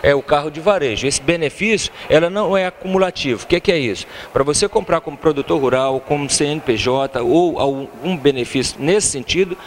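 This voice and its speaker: Slightly high male voice, Brazilian accent, middle-aged, informal sales talk, faint showroom noise. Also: brisk, few pauses. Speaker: car dealership salesman. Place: large car showroom.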